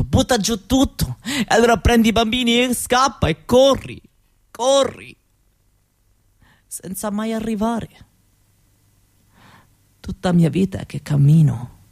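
Slow, weary foreign-accented street beggar mother. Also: mid-range voice, darkish tone. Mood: anguished. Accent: Roma-accented Italian. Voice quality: broken, foreign-sounding Italian. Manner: slow, repetitive, halting. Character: weary, bitter Roma mother who begs on the streets. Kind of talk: theatrical monologue.

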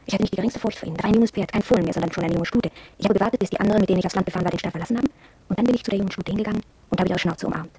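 Husky, fast, headstrong shopgirl. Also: mid-range voice, mellow, no room sound, low-quality recording. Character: headstrong, proud young shop apprentice girl, cool and yearning. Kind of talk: radio drama first-person monologue.

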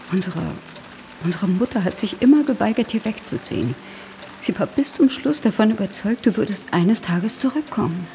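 An actress playing a famous film star, a caring but guarded older sister in grief, in naturalistic radio drama style. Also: female voice, medium pitch, noisy background, natural voice. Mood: stunned.

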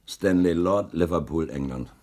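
A middle-aged ship captain on the witness stand in a radio play, his voice husky and very low. He answers in short, formal, defensive lines. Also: a little slow, darkish tone. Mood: guarded. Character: stiff, curt middle-aged male ship captain under questioning. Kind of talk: 1980s radio drama acting.

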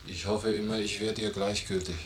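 An actor in a German radio play playing a brooding, guilt-ridden, drinking husband, halting and repeating his words with bitter irony. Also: on the low side, steady tone, slight background noise, lightly acted. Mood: weary, hesitant.

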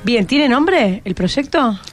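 Higher-voiced Argentine woman hosting radio. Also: animated, slow pace. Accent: Argentine, Buenos Aires. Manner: encouraging, prompting speakers. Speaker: radio host. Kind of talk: casual radio interviewing. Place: radio studio. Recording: music playing behind the voice.

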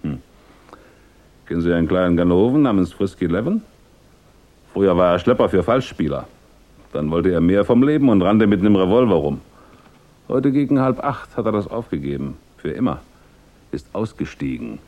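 Deep-voiced, gruff police inspector. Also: male voice, animated, moderate pace, pauses often, muffled recording. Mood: dry, menacing. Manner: terse, clipped, insinuating questioning. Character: gruff, suspicious male homicide police inspector. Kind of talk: German radio crime drama acting.

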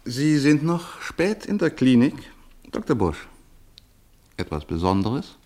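An actor playing a polite, hesitant clinic chief in a radio play. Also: male voice, medium pitch, sing-song, takes it slowly, darkish tone. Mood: courteous. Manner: courteous, hesitant. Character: older male clinic chief, polite, evasive. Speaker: professor, head of a clinic.

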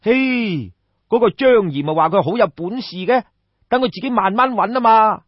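A man's voice: high voice, lively intonation, moderate pace, dry recording, muffled recording. A man with a Cantonese accent voicing a smug, spiteful young rival who scoffs and gloats in a folk tale. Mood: scornful.

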